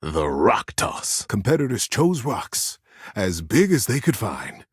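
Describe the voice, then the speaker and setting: A man narrating a children's story as a storyteller, with expressive emphasis and gentle suspense. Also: fairly low pitch, slow pace, breathy edge, no echo, studio quality.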